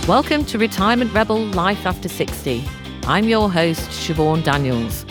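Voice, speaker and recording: An older woman hosting a podcast, introducing it and chatting with her guest in a conversational interview style. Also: lowish voice. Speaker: podcast host.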